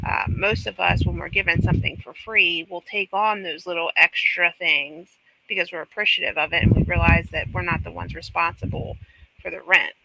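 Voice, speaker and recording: A man with a high-pitched, piercingly bright voice and some creak comments as a panel host. His opinions come out casual, pointed and critical. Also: fairly even intonation, moderate pace, slight background noise, rough recording.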